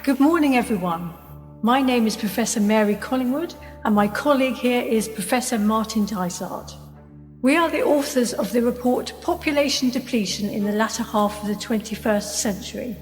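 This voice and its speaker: A woman playing a professor in a radio play, giving a grave, formal, measured address on a scientific report. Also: fairly high pitch, lightly acted. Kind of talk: radio play speech to an audience. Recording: long reverberant hall, faint music behind.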